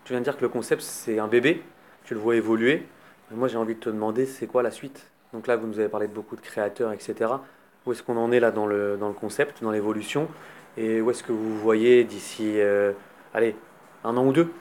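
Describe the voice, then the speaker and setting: A man's voice: fairly low pitch, fairly even intonation, brisk, a little mellow. A man hosting an interview, asking informal, chatty questions. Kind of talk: podcast interview.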